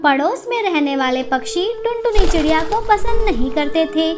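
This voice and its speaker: A woman narrates a scripted children's story. Her voice is extremely high in pitch. Music plays behind the narration. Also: expressive, normal speed.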